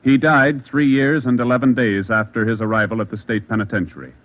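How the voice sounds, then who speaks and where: A man announcing formally in measured 1940s radio style. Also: medium pitch, fairly even intonation. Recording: muffled 1940s radio, loud music.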